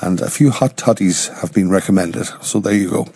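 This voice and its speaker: A man presenting a radio show, hoarse and croaky with a sore throat, chatting and reading out listener dedications. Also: sits low, a little fast, dark tone, no room sound. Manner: chatty, reads out listener names.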